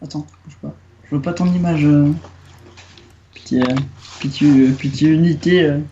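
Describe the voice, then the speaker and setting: A man's voice: fairly high pitch, steady tone, normal speed, dark tone, lo-fi sound, slight background noise. A man talking casually with friends as a player in a role-playing game. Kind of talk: casual chat among friends.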